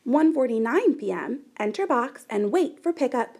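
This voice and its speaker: A woman reading a children's picture book aloud in an animated, deliberate way with dramatic emphasis. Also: high-pitched. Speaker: storybook reader.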